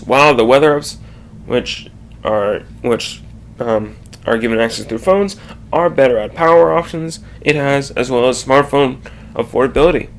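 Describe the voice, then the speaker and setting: A man narrating a scripted slide presentation in a halting way, often stumbling and correcting himself. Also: medium pitch.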